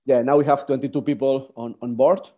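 A man, speaking English as an in a podcast interview, halting with many restarts. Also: medium pitch, fairly even intonation, dark tone. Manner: halting, many restarts and fillers, non-native phrasing. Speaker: padel business founder, guest.

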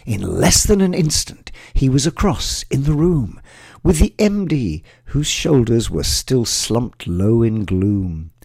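Gravelly, husky man telling a rhyming story. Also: mid-range voice, sing-song, slow pace, a little mellow, no echo, clean studio sound. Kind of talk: storytelling narration of a rhyming Christmas tale.

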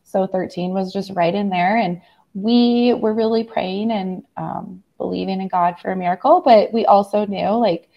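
Husky-voiced woman recovering from laryngitis. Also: medium pitch, steady tone, moderate pace, lo-fi sound. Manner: earnest, rambling with many fillers. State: hoarse after laryngitis. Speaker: guest. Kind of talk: personal testimony in a conversation.

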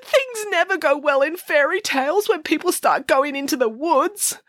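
A sobbing soft-hearted man. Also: very high-pitched, animated, normal speed, no echo, pitched above the performer's own voice. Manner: wailing, easily upset. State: crying. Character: tender-hearted male who sobs at sad stories. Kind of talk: audiobook character voice.

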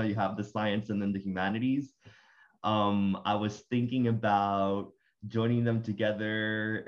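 A man's voice: lowish voice, lo-fi sound. A young man from Rico, answering interview questions as a guest, hesitant and full of fillers. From Puerto Rico. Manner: hesitant, many fillers and restarts. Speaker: guest, graduate student.

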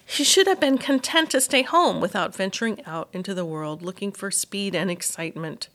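Female narrator, medium pitch, schoolteacher reading children's fiction in storytelling style. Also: expressive, moderate pace, a bit bright. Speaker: elementary school teacher reading aloud. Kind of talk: audiobook storytelling of a children's novel.

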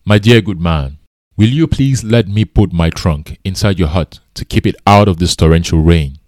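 A man's voice: low-pitched, moderate pace, darkish tone, no room sound, clean studio sound, pitched above the performer's own voice, lightly acted. A man voicing a sly, self-important male elephant minister from a storybook fable, with a soothing, authoritative, superior manner. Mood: polite pleading.

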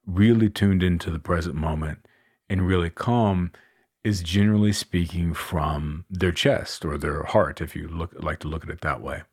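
A man with a very low, resonant chest voice talks through his thoughts on a solo podcast, unhurried and musing, with plenty of fillers. The recording is close and completely dry, with no room sound at all. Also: husky, dry recording. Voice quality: deep chest voice. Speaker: podcast host and coach. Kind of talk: reflective solo podcast talk.

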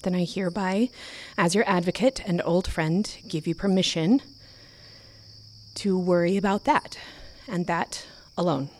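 Steady woman advocate, caring old friend, low mellow voice, fiction podcast drama. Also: a little slow. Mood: reassuring. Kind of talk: naturalistic audio drama acting.

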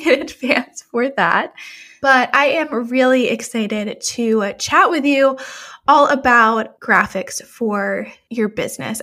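A woman's voice: on the high side, fairly bright tone. A young woman hosting a podcast, chatting in a casual, upbeat way while fighting a cold, with frequent light laughs. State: has a cold. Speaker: podcast host.

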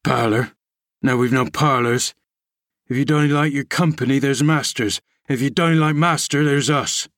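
A man's voice: mid-range voice, unhurried, a bit bright, no echo, studio quality, natural voice. A man reading aloud, voicing a sour, grumbling old male servant who scolds and mocks. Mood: mocking. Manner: scolding, mocking.

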